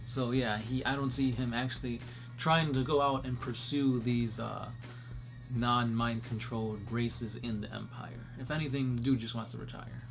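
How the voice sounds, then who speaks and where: A man co-hosting a casual chat, answering fan questions in a relaxed, chatty way. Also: medium pitch, moderate pace, gravelly, faint music behind. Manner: relaxed, chatty, many fillers. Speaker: podcast co-host.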